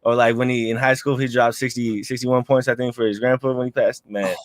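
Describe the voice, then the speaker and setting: A man's voice: mid-range voice, little pitch movement, brisk, no echo. A young man in his early twenties, a guest rapper, talking in a laid-back, slangy way during a casual interview. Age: 20s.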